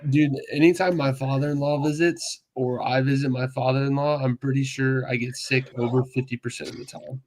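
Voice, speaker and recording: Male co-host, relaxed, self-deprecating, chatty storyteller. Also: mid-range voice, a little fast, hardly any pauses, a little hiss or hum, poor audio. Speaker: podcast co-host. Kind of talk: casual chat.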